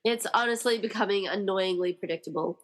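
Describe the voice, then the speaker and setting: A woman's voice: mid-range voice, a little fast, close to an everyday voice. A woman playing an unfazed, weary rescuer of friends in a conversational comedy radio play. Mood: unimpressed.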